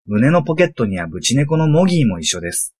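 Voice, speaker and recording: A man's voice: medium pitch, big pitch swings, fast talker, darkish tone, breathy edge. A man reading scripted, storybook-like narration for a comic radio drama.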